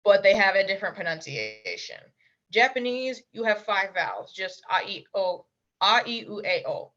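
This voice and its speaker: Bright-voiced young woman, blunt and emphatic. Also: mid-range voice, expressive, muffled recording. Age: young adult. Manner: blunt, emphatic, quick asides. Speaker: interview guest, language learner. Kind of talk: casual interview chat.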